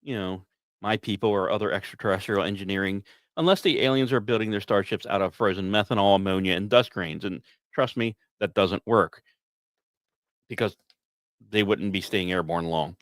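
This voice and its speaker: A man's voice: on the low side, normal speed, dry recording, clean studio sound. A man hosting a science podcast, delivering semi-scripted commentary with wry asides and the odd stumbled, repeated word.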